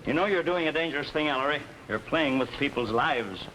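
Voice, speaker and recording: Brisk, cautious older father. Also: fairly high pitch, expressive, pushed and bright. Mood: cautioning. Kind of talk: early 1950s TV mystery acting. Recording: noisy 1950s TV soundtrack.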